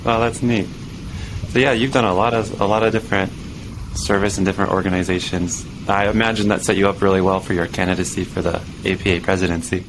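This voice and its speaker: Interview host: lowish male voice, relaxed, halting questions, noisy recording. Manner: relaxed, frequent backchannels, hesitant questions. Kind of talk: casual interview conversation. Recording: noticeable background noise.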